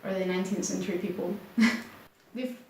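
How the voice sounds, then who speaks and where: A young woman from Spain speaking English with a Spanish accent, chatting casually to camera in an unhurried way, full of fillers like "like". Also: medium pitch, darkish tone, a little hiss or hum. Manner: unhurried, many filler likes, slightly unsure. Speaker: booktuber. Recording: very echoey room.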